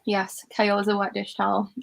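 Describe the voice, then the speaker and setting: A woman's voice: mid-range voice, level tone, normal speed, gravelly, slight background noise, rough recording. A woman with a Scouse accent from Liverpool, hosting a casual chat with lots of ums and laughs. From Liverpool, England. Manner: chatty, giggly, many ums. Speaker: host.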